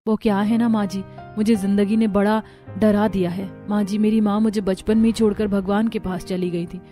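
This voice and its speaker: A woman's voice: medium pitch, level tone, normal speed, warm tone, faint music behind, natural voice. A woman playing a timid, anxious young bride who speaks meekly and politely in a cartoon story. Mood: sad. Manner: meek, polite, nervous. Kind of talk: Hindi cartoon story character acting.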